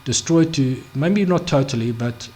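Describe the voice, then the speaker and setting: Halting man explaining scripture. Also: mid-range voice, a little mellow. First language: not Hebrew. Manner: halting, many restarts and fillers, checks in with "okay?". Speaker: Bible teacher. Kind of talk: unscripted screen-share Bible study.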